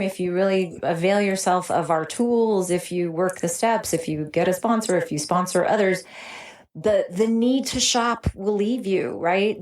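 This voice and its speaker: Woman guest chatting in an interview, mid pitch, earnest and gushy, affirming. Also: darkish tone. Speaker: interview guest, recovery program veteran. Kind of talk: conversational personal sharing.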